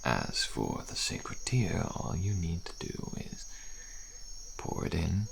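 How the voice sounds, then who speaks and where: A very deep, gravelly fantasy deserter. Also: male voice, sing-song, a little hiss or hum, exaggerated voice. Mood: patient, instructive. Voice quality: very deep, gravelly, breathy at times. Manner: slow, archaic, deliberate, often dropping to a murmur. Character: wary frail old deserter, helpful dark-fantasy wanderer. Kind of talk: ASMR audio roleplay.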